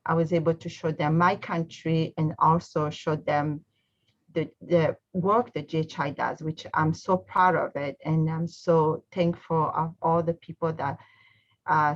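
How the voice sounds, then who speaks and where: A Rwandan woman with a notably low voice talks gratefully and haltingly, pausing often on fillers. Her audio comes through dull and lo-fi, like a video call. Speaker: guest, trip partner. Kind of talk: casual recorded video message.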